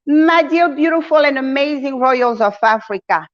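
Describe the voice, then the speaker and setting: A woman's voice: high-pitched, animated, moderate pace, lo-fi sound. A woman hosting a channel, giving an emphatic, preacher-like motivational address to her followers, full of endearments for her listeners. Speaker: channel host, community leader.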